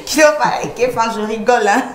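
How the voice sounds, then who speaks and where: A woman's voice: a little high, fast, a crowd in the background. A woman chatting casually on a television studio set. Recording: echoey studio with faint noise.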